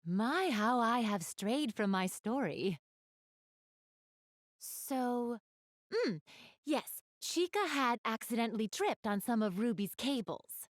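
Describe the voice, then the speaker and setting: A woman's voice: on the high side, sing-song, takes it slowly, no room sound, clean studio sound. A woman playing an elegant, polite young goddess in a video game, speaking to the listener in formal, ladylike phrases. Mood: amused storytelling. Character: elegant, refined young goddess with gamer enthusiasm. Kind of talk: video game character dialogue, addressing the listener.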